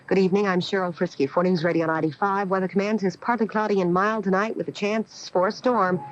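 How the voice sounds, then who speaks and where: A woman's voice: medium pitch, fairly even intonation, quick pace. An American woman reading a scripted local newscast as a radio anchor. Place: radio studio. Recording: dull old AM radio recording.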